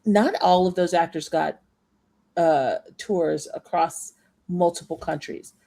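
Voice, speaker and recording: Low-voiced woman co-hosting a chatty podcast. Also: takes it slowly, vocal fry, mellow. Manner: explains points at length, emphatic. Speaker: podcast co-host. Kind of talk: casual chat.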